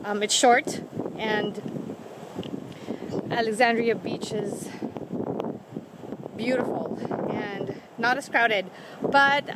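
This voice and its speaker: A woman with a cold, losing her voice, chatting casually as she walks an outdoor coastal trail, recorded on the camera's built-in mic. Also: a little high. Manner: chatty, walking while she talks. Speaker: travel vlogger. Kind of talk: casual vlog narration while walking. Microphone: camera microphone. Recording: windy outdoor camera audio. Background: wind and outdoor noise.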